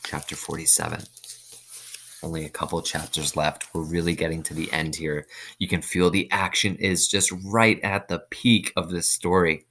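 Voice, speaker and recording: A man reading a children's novel aloud, pausing to explain things simply and put questions to listeners. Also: a bass voice, flat delivery, fairly bright tone, a little hiss or hum. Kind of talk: read-aloud storytelling with explanatory asides.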